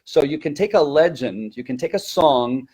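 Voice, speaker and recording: A man's voice: a little high, animated, normal speed, a little mellow, husky. A man speaking as a language teacher and presenter in a conversational training webinar, emphatic, with rhetorical questions and stop-and-start phrasing.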